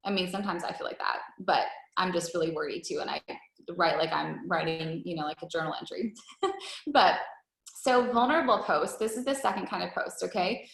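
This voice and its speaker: A woman's voice: fairly low pitch, a little fast, creaky. A woman leading an informal team training call as a coach, talking in a wordy, conversational way with frequent check-in questions. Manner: wordy, conversational, peppered with rhetorical check-ins. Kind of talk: informal, chatty coaching presentation. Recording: roomy, echoey call recording.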